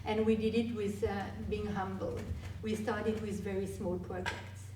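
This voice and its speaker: A woman, a guest speaker answering audience questions in a halting way with many restarts. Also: medium pitch, flat delivery, mellow, slight background noise. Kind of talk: live Q&A presentation. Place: meeting hall. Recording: echoey hall from far off.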